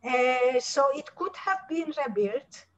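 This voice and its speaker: An older woman talks slowly and haltingly, her voice high for her age group. The video-call audio is dull and lo-fi. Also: animated. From Slovakia, Hungarian-speaking border town. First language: Hungarian. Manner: halting, many fillers and restarts. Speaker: interviewee, artist and Holocaust survivor's daughter. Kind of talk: video-call interview, showing slides.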